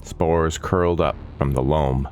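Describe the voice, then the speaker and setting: A man narrating a scripted dark fantasy story in a measured, solemn and dramatic manner. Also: very deep voice, fairly even intonation. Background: background music.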